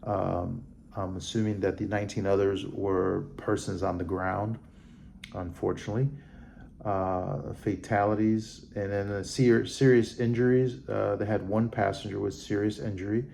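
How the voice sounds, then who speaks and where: A man hosting a vlog, reading a report aloud haltingly and adding off-the-cuff comments. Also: on the low side, flat delivery, creaky, mellow, a little hiss or hum. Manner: halting, stumbling over names and terms. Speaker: vlog host.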